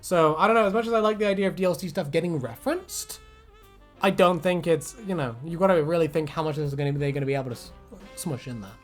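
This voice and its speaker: A man's voice: fairly high pitch, animated, fast talker. A man chatting casually and jokingly as a video host, rambling and swearing freely. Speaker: YouTube host giving reactions to fan predictions. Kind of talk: casual comedic chat. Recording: music playing behind. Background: background music.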